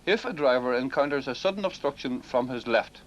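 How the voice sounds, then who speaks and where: Mid-pitched man, formal instructor commentary, demonstration, dull old film audio. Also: expressive, brisk. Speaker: driving instructor. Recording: muffled, noisy 1960s film sound.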